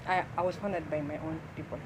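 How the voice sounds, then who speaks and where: Quick-talking East African woman over clear street noise. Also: low voice, steady tone. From South Sudanese, grew up in Kenya. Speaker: interview guest telling her life story. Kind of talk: personal storytelling in an interview. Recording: clear outdoor urban background noise.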